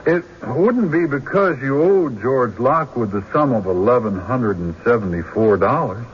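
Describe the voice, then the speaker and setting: An actor playing a shrewd country defense lawyer in a radio drama, deliberate and rhetorical, pressing pointed questions. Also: male voice, mid-range voice, animated, natural voice. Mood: sly. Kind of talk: old-time radio drama acting. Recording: dull, noisy radio drama recording.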